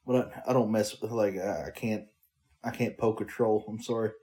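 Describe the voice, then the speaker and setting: A man's voice: lowish voice, fairly even intonation, normal speed, dark tone. A man co-hosting a podcast, chatting casually and teasing his co-host with dry jokes. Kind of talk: casual chat.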